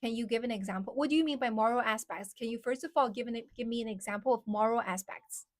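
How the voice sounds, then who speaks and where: A woman's voice: fairly high pitch, quick pace. A young woman from China with a Chinese accent, chatting casually on a livestream and answering viewers' questions, with lots of "like" fillers. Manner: chatty, many "like" fillers, reads out comments. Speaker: live streamer.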